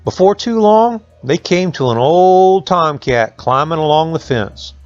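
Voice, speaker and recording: Guy telling a folksy kids story, really animated, bit of music behind. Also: fairly high pitch, a little slow, no echo, muffled recording. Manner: folksy, colloquial dialect phrasing. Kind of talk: folksy oral storytelling for children.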